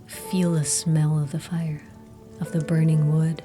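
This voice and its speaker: A woman narrating a scripted guided meditation in a soothing, hushed, soft and breathy manner. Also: low-pitched, level tone. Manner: soothing, slow, hushed delivery. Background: meditation music.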